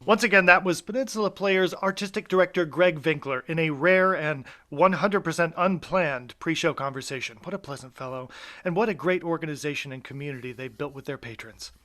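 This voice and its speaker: Bright, high-voiced man hosting with theatrical flair. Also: a little fast. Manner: mock-suspenseful, playful, effusive. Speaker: host, director and adapter of a live audio play. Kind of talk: playful theatrical introduction and backstage narration.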